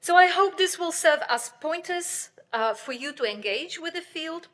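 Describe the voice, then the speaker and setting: A middle-aged woman giving a conference keynote lecture, loud and earnest, with fillers and light laughs. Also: extremely high pitch, animated, moderate pace. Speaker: keynote speaker, professor.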